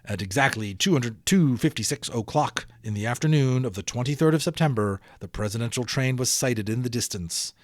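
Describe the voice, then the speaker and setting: A man's voice: medium pitch, lively intonation, fairly quick, few pauses, no room sound, studio quality. A man narrating a children's audiobook expressively, with dramatic emphasis and chatty asides to listeners.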